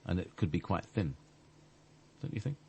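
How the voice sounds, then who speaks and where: A man's voice: sits low, big pitch swings, normal speed, vocal fry, mellow, husky. A man guest on a radio talk show, chatting in a rambling, hesitant way with many restarts. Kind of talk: rambling conversational chat.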